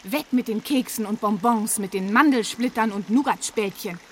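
An actress playing a resourceful, cheeky heroine in a children's radio play, with a brisk, lecturing, persuasive manner. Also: female voice, fairly high pitch, a little hiss or hum, lightly acted. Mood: scolding.

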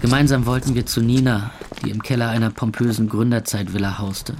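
An actor playing a weary, cynical young club owner in a radio play, narrating and talking with dry sarcasm. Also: male voice, mid-range voice, little pitch movement, fairly quick, darkish tone, slight background noise, close to an everyday voice. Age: young adult. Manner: dry, sardonic.

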